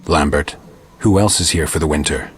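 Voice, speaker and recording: Stoic video game monster hunter, super low husky voice, terse and dry. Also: male voice, moderate pace, heavily acted. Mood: terse, reserved. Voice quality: very deep, husky rasp. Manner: terse, flat, dry questioning. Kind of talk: video game dialogue acting.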